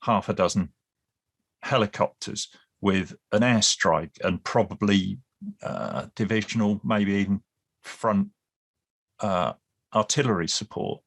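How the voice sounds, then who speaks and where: A man with a fairly low voice lays out his points at length in a relaxed podcast discussion. He restarts his phrases often and slips in dry humour. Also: a little slow, gravelly, pauses often. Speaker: guest expert on Cold War British Army.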